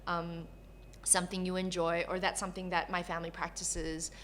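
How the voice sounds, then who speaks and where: A woman from Malaysia who grew up in Canada, talking casually as a podcast guest, laughing often and telling stories in an animated way. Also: fairly low pitch, a little fast, a little hiss or hum. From Malaysia, raised in Canada. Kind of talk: casual interview chat.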